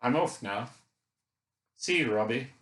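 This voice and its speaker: A man's voice: mid-range voice, expressive, a little slow, a bit bright. Man acting a middle-aged Scottish father, apologetic, unsteady voice, remorseful, audiobook dialogue, roomy sound. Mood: kind, apologetic. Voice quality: wobbly, unsteady. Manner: earnest, apologetic. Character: guilt-ridden, kind Scottish father, remorseful driver.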